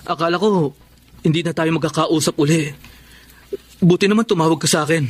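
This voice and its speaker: A man's voice: a little high, a little fast, husky, no room sound. An actor playing a heartbroken, earnest young man in a radio drama, speaking with emotional yearning. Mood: heartsick. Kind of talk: Tagalog radio drama acting.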